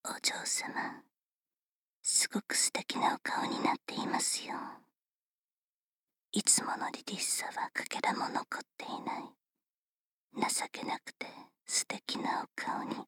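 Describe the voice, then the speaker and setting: A woman's voice: pushed and bright, no room sound, clean studio sound. A woman playing a teasing whispering servant. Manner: formal, politely teasing, unhurried. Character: polite, teasing female servant attending a young lady. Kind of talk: whispered ASMR roleplay.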